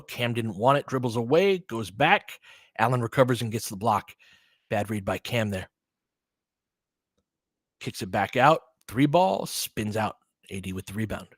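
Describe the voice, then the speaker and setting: A man's voice: mid-range voice, fairly bright tone, dry recording. Man calling basketball, dry close sound. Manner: clipped phrases, casual partisan asides. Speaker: fan play-by-play commentator. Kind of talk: live basketball play-by-play commentary.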